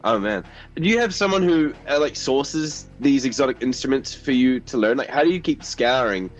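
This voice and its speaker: A young man hosts a radio podcast, asking eager, chatty questions in a mid-pitched voice. His sound is slightly dull, and soft music plays faintly behind him. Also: lively intonation, moderate pace. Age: young adult. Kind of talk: casual radio interview.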